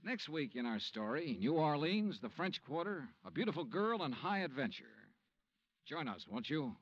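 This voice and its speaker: An actor playing a wry, earnest insurance investigator in a 1950s radio detective drama. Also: male voice, fairly high pitch, big pitch swings, moderate pace, no echo. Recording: dull vintage radio recording.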